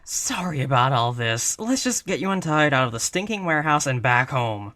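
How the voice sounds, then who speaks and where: A man's voice: mid-range voice, very animated, normal speed, bright, forceful tone. A man playing an exasperated, wry mafia boss in a conversational roleplay audio drama. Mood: apologetic, flirtatious.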